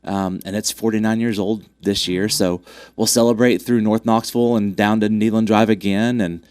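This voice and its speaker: A man's voice: on the low side, steady tone, normal speed, a little mellow, smooth, dry recording, studio quality. A man with a congested voice, chatting upbeat about upcoming races with lots of fillers. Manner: upbeat, promotional, many fillers. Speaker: track club representative. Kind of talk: casual interview chat.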